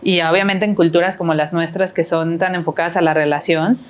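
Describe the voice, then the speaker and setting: A woman's voice: on the low side, steady tone, brisk, clear, resonant tone. A middle-aged woman with a Mexican accent, chatting as a podcast guest and rambling with many fillers and tag questions. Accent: Mexican Spanish. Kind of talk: casual conversational interview.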